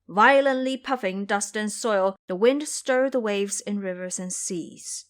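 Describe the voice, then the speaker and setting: A woman's voice: medium pitch, unhurried, close to an everyday voice. Woman as an anxious travelling monk. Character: timid, anxious male monk, a travelling master.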